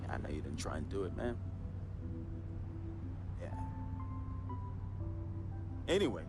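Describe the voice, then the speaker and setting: An actor playing a volatile, resentful young New hustler in a dramatic video game cutscene, talking streetwise slang and taunting. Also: male voice, sits low, huge pitch swings, measured pace, full character voice. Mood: knowing. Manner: streetwise slang, taunting, swinging from chummy to explosive. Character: volatile, resentful, fast-talking young hustler, best friend of a basketball star. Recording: loud music behind the voice.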